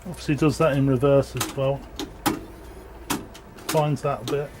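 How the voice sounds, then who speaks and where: A man giving a casual, unscripted equipment demonstration in a matter-of-fact, halting way. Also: medium pitch, steady tone, over music. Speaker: presenter demonstrating audio equipment. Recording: clearly noisy amateur room recording.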